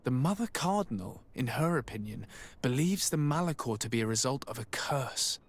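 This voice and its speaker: A composed young emissary from a fantasy game played by a man. He speaks politely and formally in an average male register, dutiful and measured. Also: medium pitch, lively intonation, close to an everyday voice. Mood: matter-of-fact. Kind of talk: video game cutscene acting.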